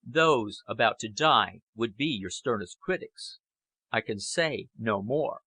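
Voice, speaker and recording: A man voicing a strained, aging, patriotic Prime Minister in an audiobook, with stern, deliberate oratory. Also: mid-range voice, animated, sharp tone, breathy edge, dry recording, heavily acted. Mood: grave, impassioned. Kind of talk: audiobook character acting.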